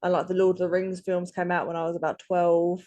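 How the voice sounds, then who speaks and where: A woman in her thirties speaks as a guest author in a relaxed interview. Her voice sits on the low side, and she hesitates often, circling back with ums and restarts as she gathers her thoughts. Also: brisk. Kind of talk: casual interview.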